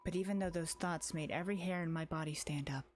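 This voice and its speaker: A woman's voice: fairly low pitch, quick pace, slight background noise, natural voice. A woman voicing an anxious, lonely young girl in a visual novel, in an earnest, wistful inner monologue. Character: anxious, lonely young girl narrating her haunted thoughts. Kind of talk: visual novel read-aloud. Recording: music behind the voice.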